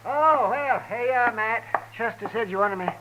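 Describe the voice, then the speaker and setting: A chuckling old frontier doctor. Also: male voice, very high-pitched, a little slow, highs cut off. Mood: curious. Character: chatty older male frontier doctor. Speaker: town doctor. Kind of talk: 1950s radio drama acting. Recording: noisy old radio broadcast.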